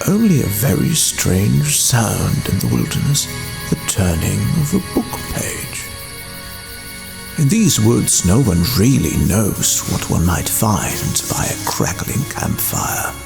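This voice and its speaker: A man's voice: on the low side, very animated, slow, creaky, over music. A man narrating a scripted fantasy tale in a solemn, poetic storytelling manner for an ASMR piece.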